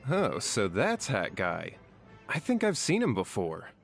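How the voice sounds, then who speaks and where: Low-voiced, animated academic onlooker. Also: male voice, unhurried, creaky, faint music behind, full character voice. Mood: musing. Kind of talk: scripted game dialogue.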